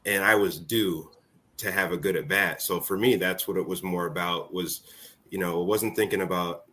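Low-voiced man telling sports stories. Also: steady tone, normal speed, fairly bright tone. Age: 40s. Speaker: former college baseball player, interview guest. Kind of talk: casual storytelling in an interview.

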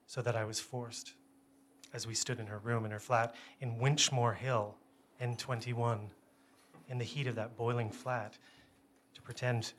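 Guy reading his short story out loud, dry self-deprecating delivery, careful measured phrases, mid pitch. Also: slight background noise. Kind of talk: literary first-person story reading to an audience.

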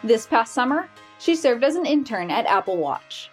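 A woman's voice: a little high, big pitch swings, moderate pace, faint music behind. A woman hosting a podcast, reading a polished introduction and asking interview questions. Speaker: podcast host.

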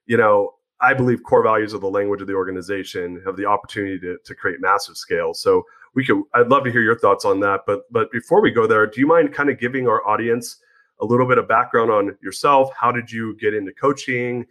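A man's voice: lowish voice, fairly quick, a bit bright. A man hosting a podcast interview, introducing his guest in an upbeat, casual way, with some stumbling restarts. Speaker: podcast host.

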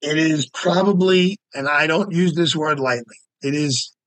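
A man's voice: on the high side, unhurried, a bit bright, no room sound. A man, a lawyer guest talking casually and emphatically, often repeating words as he makes his point. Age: 50s. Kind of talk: casual podcast interview.

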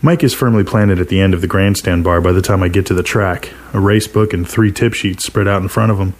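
Low-voiced man narrating fiction. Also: fairly quick. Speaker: narrator, story reader. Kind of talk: scripted first-person fiction narration.

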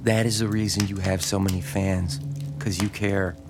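A man's voice: deep voice, level tone, normal speed, close to an everyday voice. A man playing a lonely, starstruck small-town fan in an audio drama, speaking hesitantly and deferentially. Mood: earnest, admiring. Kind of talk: naturalistic audio drama dialogue.

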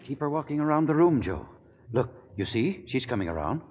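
An actor playing a brisk, authoritative small-town doctor in a 1940s radio drama. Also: male voice, mid-range voice, big pitch swings, over music, close to an everyday voice. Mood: urgent, commanding. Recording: noisy, echoing 1940s radio broadcast.

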